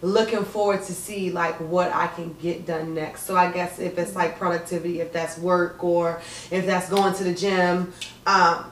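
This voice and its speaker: A woman in her twenties in a casual group chat, talking in a loose, expressive way. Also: fairly low pitch, moderate pace, a little hiss or hum. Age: 20s. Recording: roomy, distant mic.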